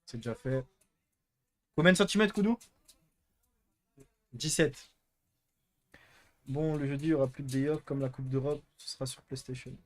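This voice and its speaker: A man's voice: medium pitch, moderate pace, long pauses. A young man hosting a live stream, chatting casually and answering chat with crude, teasing jokes. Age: young adult.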